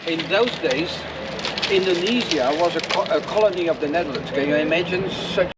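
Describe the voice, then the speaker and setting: Male tour guide, higher-pitched, halting delivery, live sightseeing commentary, outdoor canal boat, heavy background noise. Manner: halting, searching for words. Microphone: action camera. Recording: noisy outdoor action camera on a boat.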